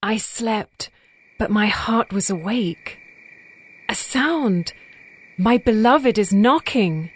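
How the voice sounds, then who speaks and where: A woman's voice: medium pitch, expressive, takes it slowly, pauses often, slightly rough. A woman playing a lovesick young bride who yearns for her beloved, in a tender, poetic scripture drama. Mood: dreamy longing. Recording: music bed behind the voice.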